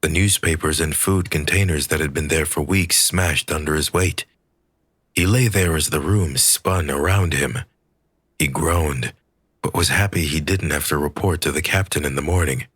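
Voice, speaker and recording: A man reading a scripted story aloud as an audiobook narrator, with a husky voice. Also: rumbling low, normal speed, fairly bright tone, dry recording, clean studio sound.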